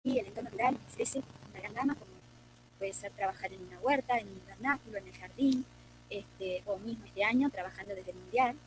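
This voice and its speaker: A woman's voice: mid-range voice, a little fast, slight background noise, poor audio. A woman rural school principal from Uruguay with a Uruguayan Spanish accent, explaining her work in a recorded video presentation. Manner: explanatory, with filler "este".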